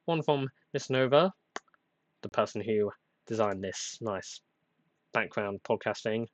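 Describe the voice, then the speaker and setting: A young man hosting a casual solo podcast, rambling and self-deprecating with many hesitations, a plain somewhat flat voice, getting over a cold. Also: on the low side, unhurried, a little hiss or hum. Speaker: podcast host.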